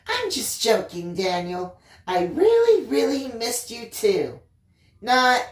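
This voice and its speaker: Woman voicing a bratty, high-pitched little sister. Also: sing-song, slow pace, reverberant, recorded from a distance. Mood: mock sweet, teasing. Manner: sing-song, mocking. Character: bratty, teasing little sister, a young girl. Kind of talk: dramatised audiobook reading.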